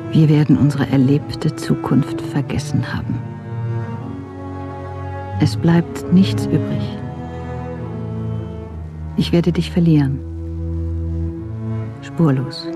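An actress playing a brooding spaceship scientist in a science fiction radio drama, speaking in short, deliberate phrases. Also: female voice, deep voice, music in the background. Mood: anguished, resigned. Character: anguished, clinical spaceship scientist, resigned woman.

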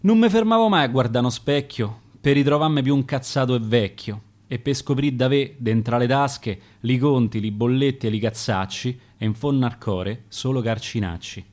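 A man's voice: mid-range voice, moderate pace, hardly any pauses, a little mellow, lightly acted. A man reading the verse of a dead bricklayer who drank away his wages, in a Roman accent. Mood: weary. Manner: reciting dialect verse in rhyme. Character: dead worn-out bricklayer, weary and sour.

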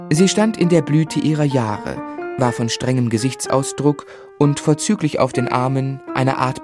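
A man acts out an earnest young husband telling his life in a literary radio play. His delivery is measured and articulate, with a gently exasperated edge, at a medium pitch. Faint music can sit behind the voice. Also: close to an everyday voice. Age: young adult.

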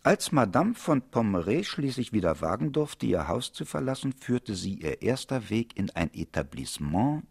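Male narrator, wry, low gravelly voice, expressive swings, literary radio drama. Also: moderate pace, few pauses, no room sound, clean studio sound, exaggerated voice. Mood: wry, dramatic. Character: wry, unhurried male storyteller.

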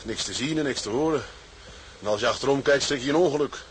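A man's voice: mid-range voice, fast, slight background noise, lightly acted. An actor playing a young boatswain in a radio drama, respectful to his superior, hesitant but candid. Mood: frank, wistful. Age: young adult. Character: young, frank, respectful boatswain from the towing trade.

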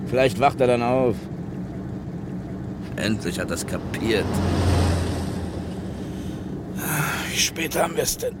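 An actor playing a grumbling, colloquial long-haul truck driver in a 1950s German radio crime drama. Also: male voice, medium pitch, animated, measured pace, very noisy, natural voice.

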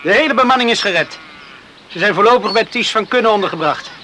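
An actor playing a down-to-earth, resigned young islander in a Dutch radio play, speaking matter-of-factly. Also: male voice, high voice, animated, fairly quick, bright, forceful tone, a little hiss or hum.

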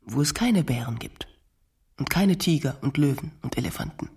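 A nervous yet stubborn woman in a radio drama, answering with wry, deadpan retorts. Her voice is very husky and crackly, often sinking close to a whisper. The sound is dry and close. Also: a bass voice, moderate pace, dry recording, full character voice. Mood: panicky, stubborn. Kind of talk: German-language radio play dialogue.

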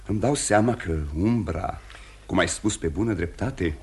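An actor playing a tactful, reserved prince in a radio play, speaking in a measured, formal and polite way. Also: male voice, sits low. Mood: attentive, polite. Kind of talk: mid-century Romanian radio theatre acting.

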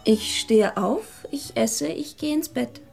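An actress playing a shy, frank twenty-year-old would-be writer, naive and earnest, in a radio drama. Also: female voice, sits high, animated, takes it slowly, gravelly, darkish tone, slightly rough, soft background music, full character voice. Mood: candid.

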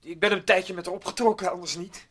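Actor as high-voiced stubborn young man, noisy recording. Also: steady tone, fast talker, natural voice. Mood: defiant. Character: stubborn defensive younger man, evasive and restless. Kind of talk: Dutch radio play acting.

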